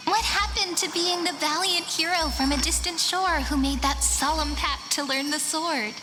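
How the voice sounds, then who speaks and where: A young woman playing a sweet, playful childhood friend in a video game, with gentle, coy teasing. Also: high voice, moderate pace, lightly acted. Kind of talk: video game character dialogue. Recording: music behind.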